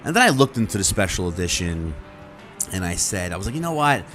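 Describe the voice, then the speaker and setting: A man's voice: sits low, very animated, fast, music in the background. A man talking casually and conversationally to his viewers as a video host, rambling and acting out imagined reactions. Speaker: YouTube host.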